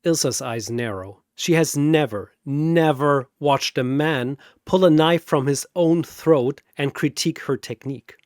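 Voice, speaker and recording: A man narrating a scripted comic fantasy battle in a theatrical, deadpan manner. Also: fairly high pitch, a little slow, few pauses, dry recording, studio quality.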